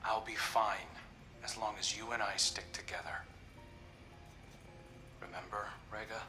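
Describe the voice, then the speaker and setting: A hopeful young prince from a video game, earnest and devoted. His lowish voice is very bright and tense, intense and sometimes breathy, with soft music underneath. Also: steady tone, measured pace. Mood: resolute. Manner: heartfelt, intense, breathy at times. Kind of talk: dramatic game dialogue.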